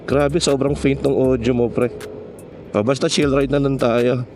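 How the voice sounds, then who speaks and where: A man's voice: medium pitch, steady tone, unhurried, a little mellow, slight background noise. A Filipino man vlogging on a motorcycle, talking in a casual, joking, slangy way and mixing in English. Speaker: motovlogger. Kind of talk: casual chat while riding a motorcycle. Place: outdoors on a motorcycle in city traffic.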